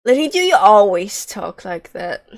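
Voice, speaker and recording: A woman's voice: mid-range voice, sing-song, a little slow. A woman giving casual, joking and sarcastic livestream commentary as she plays a video game.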